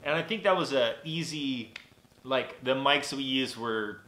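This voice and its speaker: A man's voice: medium pitch, unhurried. Nasal man chatting in a roomy studio. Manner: rambling, relaxed, many fillers and restarts. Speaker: vlogger and mixing engineer. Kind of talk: casual live-stream chat. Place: small home recording studio. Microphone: camera on desk.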